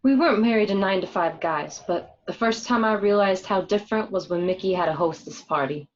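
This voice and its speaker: Radio play young wife, woman performer: candid and conversational, defensive under pressure, medium pitch, slightly dull audio. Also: fairly quick, natural voice. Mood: candid, amazed. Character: young married woman, candid narrator, exasperated daughter. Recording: dull, lo-fi home recording.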